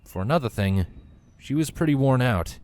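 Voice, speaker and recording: A man's voice: medium pitch, animated, normal speed, darkish tone, dry recording. A man reading scripted story narration as the narrator of a fan fiction tale.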